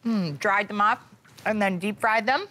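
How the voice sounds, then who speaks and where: A woman's voice: medium pitch, a little slow, bright, forceful tone. A middle-aged woman hosting a cooking show, talking to camera in an upbeat, playful way and repeating words for emphasis. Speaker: TV chef host. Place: indoor studio kitchen set.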